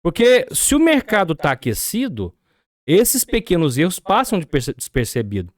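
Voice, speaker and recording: A man's voice: high-pitched, huge pitch swings, moderate pace, no echo, clean studio sound. A Brazilian man with a Brazilian Portuguese accent, a podcast guest explaining business ideas and asking rhetorical questions.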